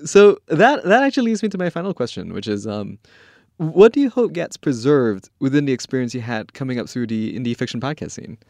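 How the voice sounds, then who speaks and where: A man's voice: medium pitch, very animated, brisk, creaky, darkish tone, dry recording. A man hosting an interview podcast, moving between narration and conversational questions.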